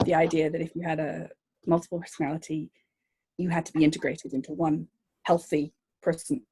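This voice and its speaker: Female journalist guest, husky, thoughtful and hesitant. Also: low-pitched, warm tone, rough recording. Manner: thoughtful, with frequent "um"s and restarts. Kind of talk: interview answers.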